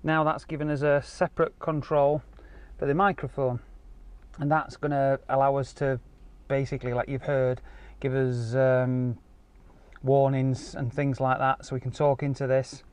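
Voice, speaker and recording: A man's voice: medium pitch, level tone, moderate pace, darkish tone, dry recording. A man giving a casual, explanatory product walkthrough as a car audio installer. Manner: informal, explanatory. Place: outdoor car park and inside car. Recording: clear ambient noise behind handheld camera.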